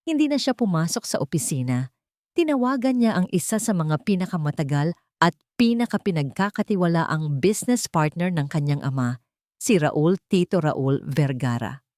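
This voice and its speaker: A woman's voice: low-pitched, mellow, no echo, studio quality. A woman reading a scripted dramatic story as a storyteller.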